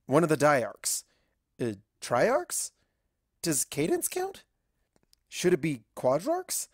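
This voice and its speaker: A woman's voice: deep voice, huge pitch swings, slow pace, dry recording, studio quality, exaggerated voice. A woman voicing an anxious, bookish young female cartoon pony princess who tells her story in a wry, fretful, dramatic way. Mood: flustered, overwhelmed. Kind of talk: fan fiction audio reading in character.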